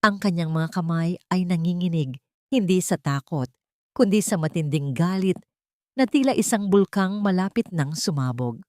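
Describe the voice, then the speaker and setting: Female audiobook narrator, lowish pitch, scripted dramatic storytelling, dry studio-like sound. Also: animated, normal speed, dry recording.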